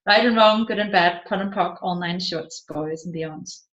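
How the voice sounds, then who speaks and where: A woman's voice: lowish voice, normal speed, a bit bright. A woman narrating a self-help audiobook, reading out seminar talk and question-and-answer exchanges. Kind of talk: read-aloud self-help seminar transcript with dialogue. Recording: roomy echo, slightly dull lo-fi sound.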